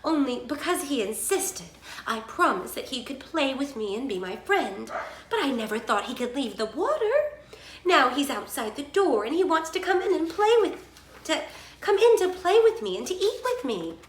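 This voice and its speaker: A woman's voice: sits high, big pitch swings, moderate pace, roomy sound, slight background noise, voice pushed up. A woman voicing an emotional, anxious young princess in a storybook fairy tale, earnest and plaintive. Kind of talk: storybook character read aloud.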